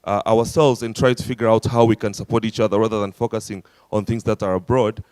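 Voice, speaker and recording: A man's voice: lowish voice, fairly even intonation, quick pace, a little mellow, dry recording. A man with a Tanzanian accent making earnest, persuasive remarks as a delegate at a conference. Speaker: audience delegate.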